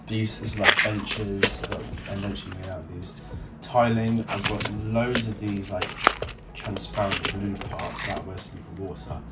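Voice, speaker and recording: Casting: male hobby vlogger, informal and unscripted, lowish voice, recorded well away from the mic in an echoey room. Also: fairly even intonation, unhurried, very noisy. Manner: informal, thinking aloud. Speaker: YouTube host showing a hobby build. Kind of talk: casual unscripted show-and-tell vlog. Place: indoor room beside the build. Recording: echoey room, distant mic, music behind.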